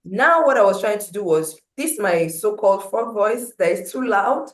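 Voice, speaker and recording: A woman with a loud voice, telling a personal story at a meeting with animated swings in pitch and loudness. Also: moderate pace. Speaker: motivational speaker. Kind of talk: storytelling talk at a breakfast meeting. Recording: roomy echo.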